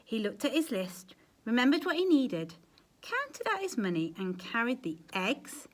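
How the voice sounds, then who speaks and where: A woman reading a children's story aloud with expressive, dramatic emphasis, as a storyteller addressing young listeners. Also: a little high, a little slow. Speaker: storyteller, teacher.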